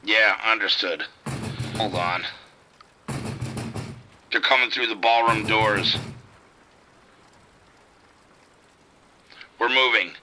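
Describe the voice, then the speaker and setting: A man's voice: on the low side, slow, noisy background. An actor playing a frightened male police officer calling for help over the radio, urgent and swearing. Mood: shouting. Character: male police officer trapped in a casino outbreak. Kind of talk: audio drama acting.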